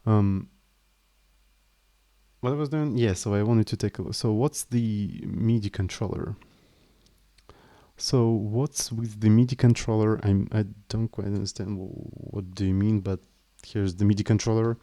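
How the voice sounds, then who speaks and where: A man's voice: fairly low pitch, fairly even intonation, measured pace, mellow. A man live-streaming his coding, speaking English as a second language in a hesitant, apologetic way with frequent restarts. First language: not English. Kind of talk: casual live stream chat, explaining code.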